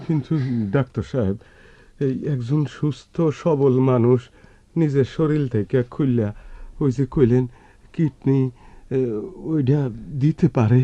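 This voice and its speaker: A man's voice: mid-range voice, unhurried, mellow, dry recording, natural voice. A man playing a protective, reproachful village brother in a Bengali radio drama, speaking a rural Bangladeshi dialect with earnest emotion. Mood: puzzled. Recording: dull, lo-fi radio drama recording.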